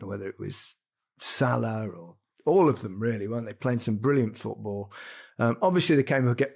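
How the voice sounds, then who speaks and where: A man hosting a casual football podcast, relaxed and chuckling as he leads the talk. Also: lowish voice, gravelly, husky. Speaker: host.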